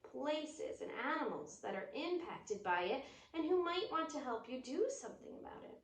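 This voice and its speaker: A woman reading a children's book aloud with expressive, storytelling delivery. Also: on the high side. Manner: expressive, drops to whispers at quiet moments. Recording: roomy echo, distant mic, faint noise.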